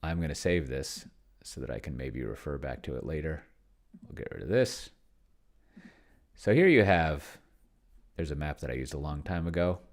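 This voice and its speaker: A man with a low, gravelly voice talks casually through his own game as host. His pitch swings in a lively way while he thinks aloud, rambling and hesitating, often leaving a thought half finished. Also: normal speed, long pauses. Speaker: solo tabletop roleplayer and host, narrating own play session. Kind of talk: casual unscripted play-along commentary, thinking aloud.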